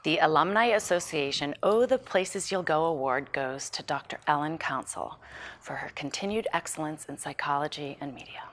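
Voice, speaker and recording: A woman playing a polished, eager psychologist in a script table read, gushing, breathy and polite. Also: deep voice, normal speed, fairly bright tone, a little hiss or hum. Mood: elated, self-satisfied. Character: polished, eager woman psychologist greeting an old college friend.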